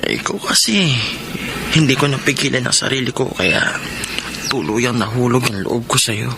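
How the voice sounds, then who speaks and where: A man playing a smooth-talking, scheming lover in a Tagalog radio drama. His voice is of ordinary pitch, heard over plainly noisy ambience. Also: moderate pace, lightly acted. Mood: coaxing, reassuring. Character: smooth-talking scheming male lover, gambler using older mistress.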